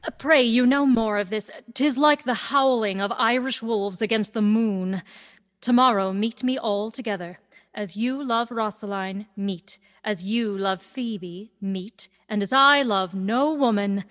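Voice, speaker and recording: A woman's voice: medium pitch, measured pace, fairly bright tone, natural voice. Woman playing a confident youth in long reverb. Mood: exasperated, brisk. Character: self-assured young woman disguised as a youth. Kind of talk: Shakespearean radio play acting.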